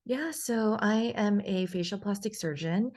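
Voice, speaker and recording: A woman from New York City, born in South Korea, answering interview questions in a measured, explanatory way with frequent pauses. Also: mid-range voice, a little mellow. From born in South Korea, grew up in New York City. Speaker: guest, facial plastic surgeon.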